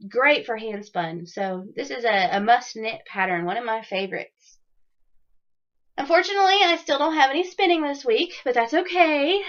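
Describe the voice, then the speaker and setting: A woman with a somewhat high voice hosts a casual knitting podcast, chatty and upbeat with plenty of ums. She sounds a little raspy from a cold. The audio is dull and lo-fi. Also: a bit bright. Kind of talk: casual video podcast chat.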